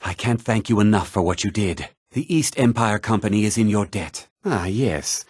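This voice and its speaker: A man's voice: on the low side, normal speed, slightly rough, dry recording, studio quality. An actor voicing a haughty, self-important townsman in a fantasy video game, with a pompous, theatrical delivery. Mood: businesslike. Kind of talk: scripted fantasy video game character dialogue.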